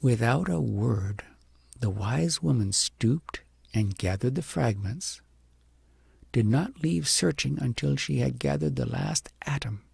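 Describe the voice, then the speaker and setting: A man narrating an audiobook fairy tale expressively, with deliberate, dramatic emphasis. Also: mid-range voice, vocal fry, darkish tone, husky, no room sound.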